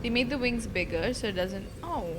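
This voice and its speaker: A woman's voice: a little high, expressive, normal speed. Casual woman streamer musing aloud. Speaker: streamer reacting to a game. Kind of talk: casual live reaction commentary. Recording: faint game music behind.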